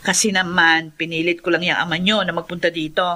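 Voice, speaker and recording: An actress playing a resentful, sharp-tongued middle-aged mother who scolds bluntly in a Filipino radio drama. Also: on the low side, level tone, a little fast. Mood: grudging.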